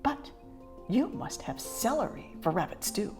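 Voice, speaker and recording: Woman doing high-pitched, eager little storybook rabbit. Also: very animated, measured pace, darkish tone, soft background music. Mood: slyly helpful. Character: clever little rabbits, innocent-sounding, eager tricksters.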